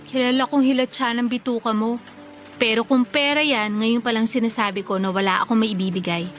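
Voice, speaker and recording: Woman acting a high-voiced, dutiful elder sister. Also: steady tone, fairly quick, fairly bright tone, faint music behind, lightly acted. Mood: wary. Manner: polite but firm. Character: young working daughter, dutiful, exasperated, firm elder sister. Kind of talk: radio drama acting.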